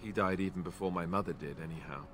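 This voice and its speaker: A man's voice: deep voice, fast talker, fairly bright tone, faint music behind. A man voicing a wry, self-deprecating young warrior in a video game, talking in a rambling, joking manner. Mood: reflective, regretful. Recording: faint background noise.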